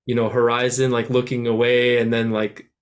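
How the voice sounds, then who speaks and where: Rambling musician answering at length. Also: male voice, medium pitch, monotone, moderate pace. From France, raised in Canada. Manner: rambling, many restarts and "like" fillers. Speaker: musician guest. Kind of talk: casual interview answers.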